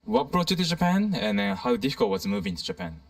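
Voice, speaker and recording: A man interviews passers-by in a quick, lively voice with a gravelly edge. He sounds some distance from the mic, as if played back from a video. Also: on the high side, dry recording. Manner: brisk, upbeat questioning. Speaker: street interviewer. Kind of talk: casual interview questions.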